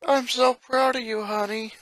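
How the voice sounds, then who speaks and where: Man acting a proud, high-voiced kindly dad. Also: fairly even intonation, unhurried, fairly bright tone, no echo, rough recording. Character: proud, kindly father of many children.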